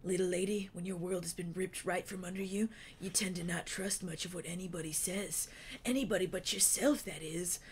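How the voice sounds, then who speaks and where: A woman doing a hushed, wistful wandering man. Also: lowish voice, steady tone, a little fast, slight background noise, close to an everyday voice. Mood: gentle, wistful. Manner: soft, hushed, drawling delivery. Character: kindly eccentric wandering man, sad and wise.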